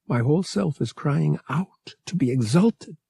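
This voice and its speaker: A man voicing an anguished, vulnerable young woman in an audiobook, breathy, with halting, pleading delivery. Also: fairly high pitch, lively intonation, mellow, dry recording, studio quality, voice pushed up, heavily acted. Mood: anguished, yearning. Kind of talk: audiobook character voice.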